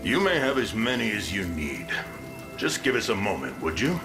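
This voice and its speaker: Gruff, sardonic fantasy game lord, deep-set and slow, folksy old-world phrasing, music underneath. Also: male voice, very animated. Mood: wry, commanding. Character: gruff, wry town lord and seasoned fighter, resigned. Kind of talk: video game fantasy acting.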